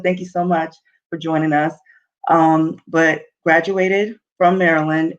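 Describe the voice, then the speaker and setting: A woman's voice: deep voice, lo-fi sound. A young woman talking informally and earnestly to an online audience, encouraging listeners as a talk show host. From Nigeria and New Jersey, US.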